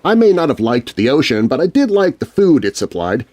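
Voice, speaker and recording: A man reads as a shaken kid narrator in a scary storybook. The telling is dramatic and very animated in pitch, grumbling and expressive, broken by deliberate pauses. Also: no echo, natural voice. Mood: curious. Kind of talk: first-person scripted story narration.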